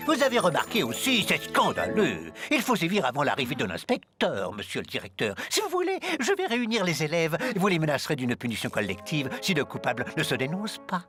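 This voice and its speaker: An actor playing a scheming, pompous and spiteful old school supervisor with a theatrical, indignant way of talking in a cartoon. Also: male voice, a little high, wildly swooping pitch, a little fast, hardly any pauses, fairly bright tone, faint music behind. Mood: indignant, scandalized. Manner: theatrical, indignant, muttering and gloating.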